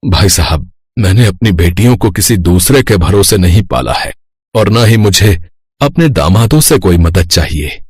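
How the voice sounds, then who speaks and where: A man's voice: low voice, a little mellow, husky, no echo, clean studio sound, full character voice. A man playing a proud, indignant father of grown daughters in a cartoon story, speaking with firm reproach.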